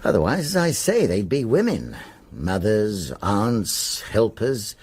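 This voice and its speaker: An actor playing an affable older British country police superintendent in a radio drama, musing aloud in a conversational way. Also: male voice, fairly low pitch, big pitch swings, slow pace, darkish tone, breathy edge, exaggerated voice.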